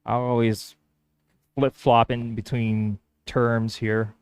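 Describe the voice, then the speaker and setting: A man's voice: mid-range voice, level tone, slow, smooth, no room sound. A man whose first language is Spanish, casually thinking aloud as he works on a woodworking build. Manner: thinking aloud, hesitant restarts. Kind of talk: casual workshop vlog narration.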